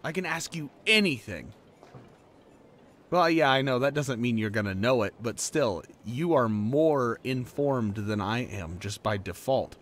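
A man's voice: mid-range voice, lively intonation, unhurried, a bit bright. A young man acting a laid-back, playful working-class boyfriend in a conversational roleplay audio drama, informal and earnest. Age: young adult.

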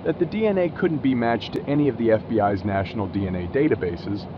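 A man's voice: medium pitch, fast talker, close to an everyday voice. A man playing a suspicious, emphatic friend in a scripted casual phone chat. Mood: skeptical, uneasy. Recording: dull, noisy outdoor phone call.

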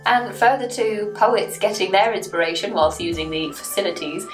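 A woman's voice: medium pitch, moderate pace, soft background music. A young woman musing aloud to camera as a photography vlogger, recorded in a small bathroom. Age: young adult. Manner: thoughtful, musing, asks questions aloud. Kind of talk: casual reflective talk to camera. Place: small tiled bathroom, indoors. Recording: roomy small-bathroom echo.

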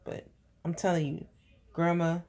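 A woman's voice: fairly low pitch, monotone, moderate pace, a little mellow. A woman in her thirties, a mother chatting and teasing her daughters at bedtime, filming on a phone in bed. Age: 30s. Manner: playful teasing, repeating questions. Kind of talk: casual family chat at bedtime. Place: bedroom, lying in bed indoors. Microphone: phone camera held at arm's length.

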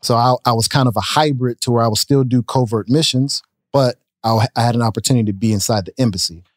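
Relaxed man in a dry studio. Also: mid-range voice, fast talker, dry recording. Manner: relaxed, talks with his hands. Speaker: guest business owner. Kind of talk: casual interview storytelling. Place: podcast studio, indoors. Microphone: studio mic on a boom arm. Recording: dry close studio sound.

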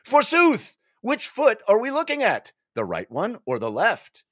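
A man voicing excitable nerdy male tabletop gamers, exclaiming and mock-heroic in a comic dramatic reading. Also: sits high, a little slow, no echo, clean studio sound, pitched above the performer's own voice, full character voice. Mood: eager, bumbling.

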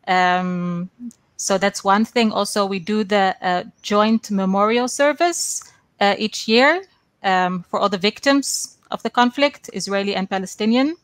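A woman's voice: mid-range voice, clean tone, dry recording. A young woman from the Netherlands, a guest activist on a video call, speaking earnestly through a headset with frequent ums. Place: small room at home.

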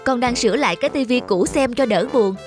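A woman voicing an earnest, polite young man in an animated moral story. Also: fairly high pitch, fast, natural voice. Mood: warm, earnest. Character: earnest young man, spendthrift son learning independence. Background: background music.

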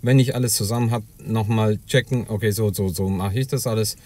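A man's voice: on the low side, fairly even intonation, fairly quick, dark tone. A man who works as a heating installer, telling casually and chattily how he handles a job. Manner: chatty, loose storytelling with filler "ja?" and "ähm". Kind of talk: casual vlog narration.

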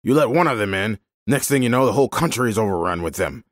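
A man's voice: deep voice, very animated, moderate pace, no room sound, studio quality. A man voicing an angry, bullying, miserly vineyard owner who rants scornfully in a dramatised story. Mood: scornful anger. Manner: ranting, scornful.

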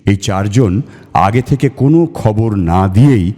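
A man reading a literary story aloud in a measured, theatrical way, as a storyteller. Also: lowish voice, expressive, dark tone. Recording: long reverb over music. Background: music.

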